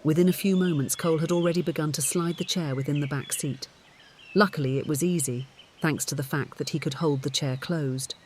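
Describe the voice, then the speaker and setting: A man narrates scripted fiction in a voice pitched a little high. Faint outdoor-like ambience and bird sounds sit behind his audiobook reading. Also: steady tone, fairly quick, darkish tone, a little hiss or hum.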